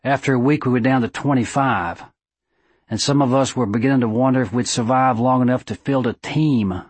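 A man voicing an aging, earnest small-town judge with a squeaky voice and a thick Southern drawl, giving an audiobook eulogy. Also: a little fast, slightly rough, no echo, close to an everyday voice. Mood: earnest, reminiscing. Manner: drawling, oratorical.

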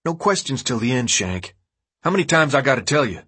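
A man acts out a tough, threatening teenage boy in charge, from an audiobook novel. The voice is husky and raised a little, with blunt, slangy orders. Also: medium pitch, sing-song, brisk, a bit bright. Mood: irritated. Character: gruff, bossy, threatening teenage boy leader.

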